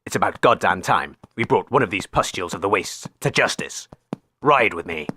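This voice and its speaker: A man's voice: low-pitched, animated, normal speed, sharp tone, slightly rough. A man hosting a comedy radio show with a bombastic, theatrical manner. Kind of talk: comic radio sketch acting and hosting.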